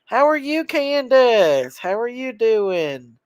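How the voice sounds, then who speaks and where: A woman's voice: on the high side, sing-song, slow, no echo. A woman, stuffed up with a cold, hosting a casual livestream chat and reading out viewer names. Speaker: livestream host.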